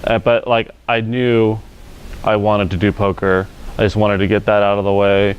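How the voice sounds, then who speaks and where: A man's voice: lowish voice, moderate pace, clean tone. A man in his twenties from California, a professional poker player answering interview questions in a casual, filler-heavy way. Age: 20s. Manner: relaxed, filler-heavy, self-correcting.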